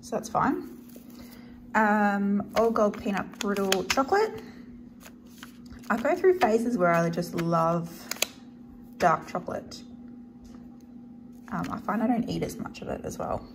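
An Australian woman chats casually in a home vlog with clearly audible noise behind her. Her medium-pitched voice often creaks into fry. She speaks off the cuff, as if walking viewers through her shopping. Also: lively intonation, takes it slowly, long pauses. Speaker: vlogger. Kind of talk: casual grocery haul chat to camera.

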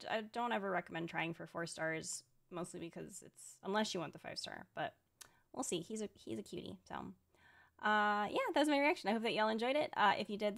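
A woman's voice: medium pitch, gravelly, fairly bright tone. A young adult woman hosting a casual reaction video, speaking into a close desk microphone at home. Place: small room at home.

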